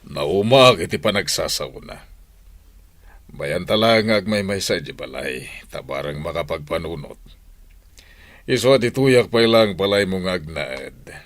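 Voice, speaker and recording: A man acting a deep-voiced, weary old father. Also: lively intonation, a little slow, fairly bright tone, no room sound. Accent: Filipino, Ilocano. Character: older father, weary but supportive. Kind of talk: radio drama acting in Ilocano.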